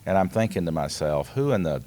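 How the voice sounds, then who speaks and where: A man's voice: deep voice, brisk. A middle-aged man co-hosting a podcast, casually telling an anecdote and acting out bits of dialogue. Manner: animated retelling with quoted dialogue. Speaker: podcast co-host.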